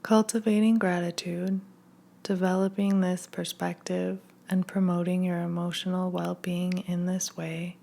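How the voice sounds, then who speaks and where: Female meditation guide: lowish pitch, gravelly fry at phrase ends, gentle and hushed scripted read with unhurried gaps. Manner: gentle, soothing, hushed delivery with long pauses.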